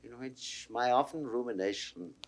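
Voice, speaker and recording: An actor playing an affected, melancholy gentleman traveller, savouring each phrase in theatrical classical style for a 1960s audio drama. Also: medium pitch, moderate pace, lightly acted, rough recording. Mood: self-satisfied musing. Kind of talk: classical Shakespearean stage acting.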